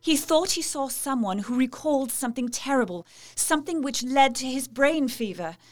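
A woman's voice: high-pitched, expressive, moderate pace. An actress playing a polite, devoted young Victorian wife in a gothic audio drama, formal and heartfelt, as if telling her diary. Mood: troubled. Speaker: actress narrating and playing dialogue.

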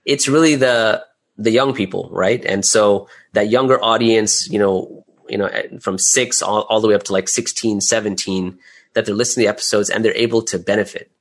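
Male interview guest, lower-pitched voice, conversational and chatty delivery, peppered with small check-in tags. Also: steady tone, brisk. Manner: chatty, often adds "right?" and "you know". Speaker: interview guest, audio drama creator.

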